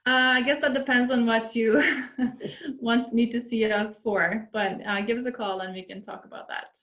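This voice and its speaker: A woman consular officer answering questions in a webinar, relaxed and helpful, with easy fillers, over a video call. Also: a little high, moderate pace, reverberant.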